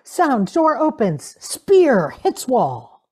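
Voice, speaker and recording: A woman's voice: a little high, huge pitch swings, very slow, a little mellow, husky. A woman reading out scripted sound effect cues in a clipped style, heard over a video call. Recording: dull video call audio.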